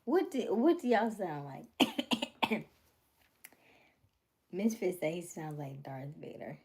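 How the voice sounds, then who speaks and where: A woman chatting casually with her viewers on a livestream, drifting into soft whispers and laughing often. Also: lowish voice, big pitch swings, slow pace, breathy edge. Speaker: livestream host.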